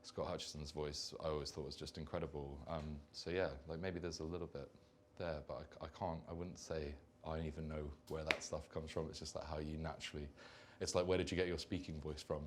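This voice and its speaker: A man's voice: rumbling low, little pitch movement, fairly quick, noisy background. A man raised in London, a band's singer, answering fan questions in rambling, self-correcting talk on a concert stage. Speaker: band singer. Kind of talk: live Q&A chat.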